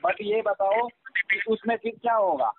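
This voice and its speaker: Over a tinny telephone line with clear noise and clicks, a man speaks in a very high-pitched voice. He is insistent and repetitive, pressing his point in a casual argument. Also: level tone, unhurried. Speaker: caller pressuring the other party. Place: recorded phone call.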